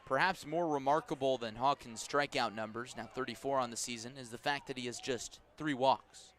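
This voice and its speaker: An American man doing live radio baseball commentary, descriptive and clipped, with phrases that quicken and lift on the action. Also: mid-range voice, fairly bright tone. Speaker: baseball play-by-play announcer. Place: outdoor baseball stadium at night.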